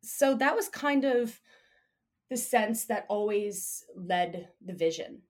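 A woman speaking as an interview guest, relaxed and chatty, with a hoarse voice. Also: medium pitch, takes it slowly. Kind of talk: casual interview chat.

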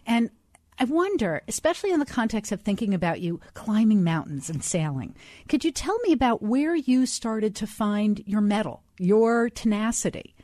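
A woman's voice: medium pitch, sing-song, moderate pace, warm tone, no room sound, studio quality. A woman hosting a conversational interview, speaking as the presenter. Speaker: interview host. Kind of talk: conversational broadcast interview with scripted introduction.

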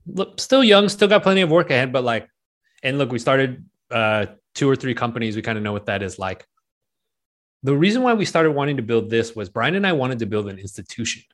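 A man's voice: medium pitch, brisk. A man in his late thirties from Penang, a guest investor talking casually and loosely, with lots of likes and rights. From Penang, Malaysia. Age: 30s. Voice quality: relaxed, filler-heavy talk with many likes and rights. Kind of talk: casual interview chat.

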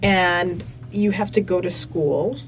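A woman's voice: on the low side, slow pace, recorded from a distance, low-quality recording. Curious woman interviewer, slightly off-mic. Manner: curious, probing questions. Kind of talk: conversational interview. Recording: voice a bit off-mic with faint hiss.

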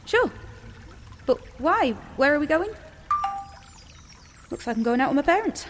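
A woman's voice: high-pitched, very animated, measured pace, soft background music. An actress playing a guarded, sarcastic teenage girl in a naturalistic dystopian audio drama. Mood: anxious, casual.

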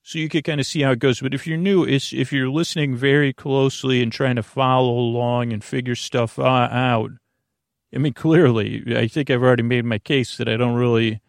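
Gravelly, meandering American man. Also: mid-range voice, dry recording, studio quality. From United States. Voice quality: gravelly, creaky. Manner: meandering, halting, repeats and trails off mid-thought. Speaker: podcast host. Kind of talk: rambling monologue for a bedtime sleep podcast.

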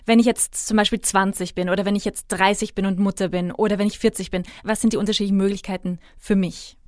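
Female interviewer, mid-pitched, prompts the guest for specifics, dry sound. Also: steady tone, quick pace, a little mellow, dry recording. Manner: prompting, asks for concrete points. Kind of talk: interview questions.

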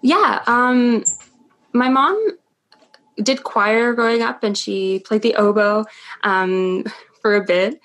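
Chatty young woman with vocal fry. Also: on the high side, fairly even intonation, slow pace, long pauses, fairly bright tone, poor audio. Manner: chatty, laughs often, many ums. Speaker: interview guest, stage performer. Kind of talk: casual interview chat.

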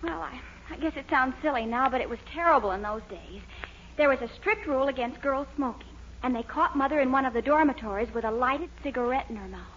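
High-voiced, earnest young radio fiancée. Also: female voice, fast, fairly bright tone. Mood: earnest, confiding. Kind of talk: 1940s radio sitcom acting. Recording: muffled, hissy 1940s radio recording.

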